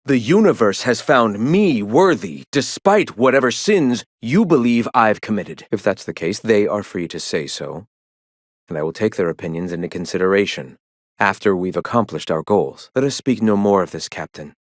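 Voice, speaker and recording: An actor playing a pompous, serene cult leader in a video game, speaking in a lofty, theatrical, preacherly way with formal diction. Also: male voice, fairly low pitch, lively intonation, normal speed, no room sound, studio quality. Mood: grave, measured. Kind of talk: video game character dialogue acting.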